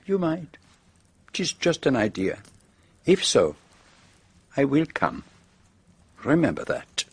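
An actor playing a shrewd, fussy foreign detective with a French accent, formal and gently probing, in a radio drama. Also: male voice, medium pitch, wildly swooping pitch, slow pace, husky, heavily acted. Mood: courteous, knowing.

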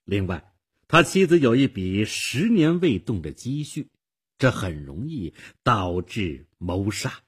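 Give a shrewd male police detective, voiced by a storyteller. He is composed and knowing, speaking slowly in a husky voice with very animated intonation, as in a crime audiobook. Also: lowish voice, heavily acted. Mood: knowing, explaining. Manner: measured, knowing.

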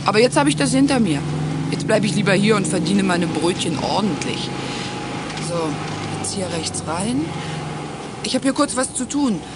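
An actress plays a friendly young woman at the wheel in an eighties children's radio drama. Her talk is casual and easygoing, with swooping intonation. Clear vehicle noise runs through the recording. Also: medium pitch, expressive, moderate pace, long pauses, close to an everyday voice. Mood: chatty, offhand. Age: young adult. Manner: casual and chatty. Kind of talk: 1980s children's radio play acting. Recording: noisy audio with vehicle sounds.

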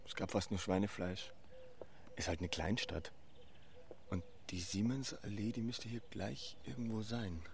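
A man's voice: on the low side, vocal fry, slight background noise. An actor playing a hesitant, polite, apologetic man in a naturalistic radio drama. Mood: contrite, hesitant. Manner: hesitant, halting.

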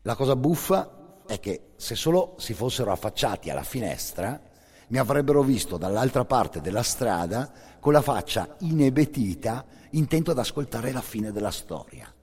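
Male monologist for radio theatre: husky, intense storyteller, very wide swings in pitch, long hall reverb. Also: big pitch swings, moderate pace. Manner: intense, theatrical storyteller, swelling from hushed to forceful.

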